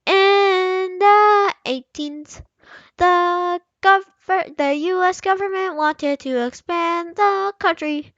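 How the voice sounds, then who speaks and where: A woman's voice: very high-pitched, slow, fairly bright tone, no echo, muffled recording. A young girl reading a children's history book aloud, stumbling over words and sometimes slipping into a playful sing-song. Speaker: young reader practising aloud.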